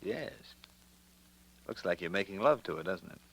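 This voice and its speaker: A man's voice: deep voice, very animated, moderate pace, creaky, husky, heavily acted, low-quality recording. An American man playing a smug, slangy blackmailing racketeer in an old radio detective drama. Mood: smug, sly. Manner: slangy, taunting, hard-boiled. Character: smug male racketeering print-shop owner, a blackmailer.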